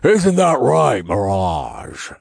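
A man's voice: on the low side, wildly swooping pitch, extremely slow, creaky, a little mellow, slightly rough, no echo, clean studio sound, heavily acted. A man playing a big, bulky, grumbling male demon in an audiobook, blunt in manner. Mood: ribbing.

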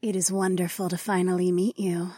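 A woman voicing a serene, benevolent wolf goddess in a fantasy audiobook, soft, formal, breathy and sultry. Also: lowish voice, flat delivery, no room sound, studio quality. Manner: slow, formal, soft blessing.

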